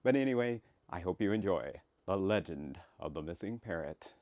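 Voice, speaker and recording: A man introducing his own work in casual, rambling commentary, with a halting delivery. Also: low voice, lively intonation, darkish tone, no room sound, muffled recording. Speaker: creator introducing his comic.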